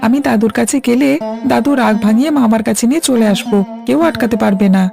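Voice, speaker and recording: Woman acting a quick, creaky-voiced young boy. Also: a little high. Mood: determined, eager. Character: curious, determined young nephew, a village boy. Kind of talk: Bengali cartoon voice acting. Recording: music under the voice.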